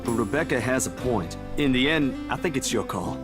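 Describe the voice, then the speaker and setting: A man's voice: mid-range voice, expressive, moderate pace, natural voice. An actor playing a polite, sensible, easygoing young man in a visual novel game. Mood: hesitant, calm. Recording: game music over voice.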